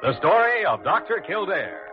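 A man's voice: high voice, sing-song, normal speed, music in the background. A man announcing a drama series in a formal 1940s radio style. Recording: dull vintage radio recording.

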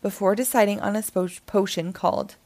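A woman reading a children's picture book aloud in an animated storytelling style. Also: mid-range voice, a little fast.

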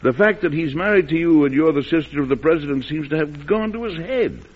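An actor playing a patient, measured president and protective brother in an old-time radio drama, his voice husky. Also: on the high side, animated. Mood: gentle, uneasy. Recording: muffled vintage radio recording.

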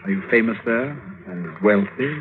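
An actor playing a cruel, silky young despot prince in theatrical, commanding old radio drama style. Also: male voice, on the low side, normal speed, lightly acted. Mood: musing, imperious. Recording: tinny, hissy old radio broadcast recording.

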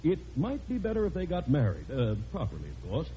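A man's voice: a little high, huge pitch swings, brisk, dark tone. An actor playing a meek, polite, hesitant middle-aged father in a vintage radio sitcom. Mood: meek, apologetic. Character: meek, hesitant, well-mannered father of a wild son. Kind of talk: 1940s radio sitcom acting. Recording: muffled old radio recording, faint noise.

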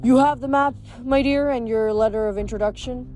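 A woman's voice: on the high side, a little fast, darkish tone, faint music behind, voice pushed up, close to an everyday voice. A woman voicing a manly, ancient male river god with a courteous, formal, wry and musing manner for a story.

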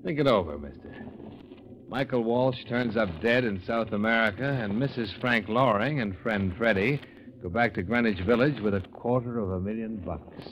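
An actor playing a wry, tough, clipped-talking investigator in an old-time radio detective drama. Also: male voice, lowish voice, normal speed, a bit bright, natural voice. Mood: needling, tough. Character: wry, hard-boiled insurance investigator, male, tough and needling. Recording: dull, noisy old radio recording.

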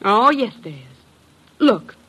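A woman's voice: a little high, sing-song, slow pace, fairly bright tone, breathy edge, full character voice, low-quality recording. A woman playing a jealous, flirtatious wife, insistent and coy, in 1950s radio drama style. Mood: needy, flirtatious.

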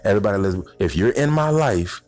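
A man's voice: fairly low pitch, animated, brisk, darkish tone, faint music behind. A man in his thirties hosting an informal motivational podcast, speaking straight to listeners in slangy language with rhetorical questions. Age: 30s. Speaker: podcast host.

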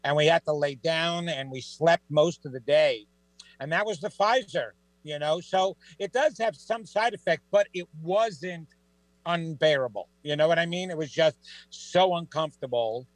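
A middle-aged man with a New York accent, a psychic guest on a talk show, telling stories in a lively, joking way. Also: fairly high pitch, moderate pace, dry recording, rough recording. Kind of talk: casual radio chat.

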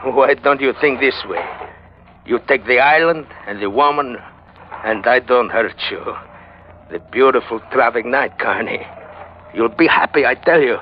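An actor playing a scheming, smooth-talking pirate sailor in an old-time radio drama. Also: male voice, medium pitch, unhurried, lightly acted. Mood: sly, coaxing. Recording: muffled, noisy vintage radio recording.

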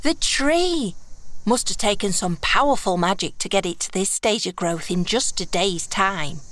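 A woman's voice: medium pitch, lively intonation, unhurried, fairly bright tone. A woman playing a brash, blunt runaway heiress turned thief in a fantasy audio drama, sarcastic and colloquial with old-fashioned turns of phrase. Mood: intrigued.

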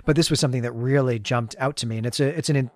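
A man with a mid-pitched voice co-hosts a literary podcast. He discusses a story in a conversational way, feeling his way through thoughts and repeating words. The sound is dry and close, with no room echo. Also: racing. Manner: tentative, thinking aloud, repeats words. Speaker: podcast co-host.

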